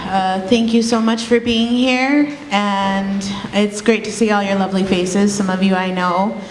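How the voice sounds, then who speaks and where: A woman's voice: mid-range voice, fairly even intonation, a little slow. A woman from Guatemala, whose first language is Kaqchikel, speaking earnestly to a gathering as a street medic and activist. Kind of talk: speech to a gathering. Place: indoor community gathering. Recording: echoey hall with faint noise.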